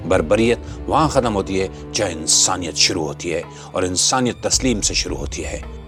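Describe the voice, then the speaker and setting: Low-pitched man delivering dramatic commentary. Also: lively intonation, moderate pace. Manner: dramatic, rhetorical, sardonic. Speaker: host of a commentary channel. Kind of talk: scripted opinionated political commentary. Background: background music.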